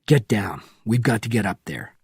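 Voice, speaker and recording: A man reading as a calm, hardened agent. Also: fairly low pitch, normal speed, slightly rough, no room sound, clean studio sound, heavily acted. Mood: calm, urgent.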